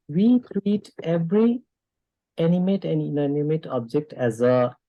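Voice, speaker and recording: A man from Nepal with a Nepali accent, speaking off the cuff in a discussion in a halting, hesitant way with frequent pauses. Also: fairly high pitch, dry recording, muffled recording. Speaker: discussion participant. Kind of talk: unscripted discussion remarks.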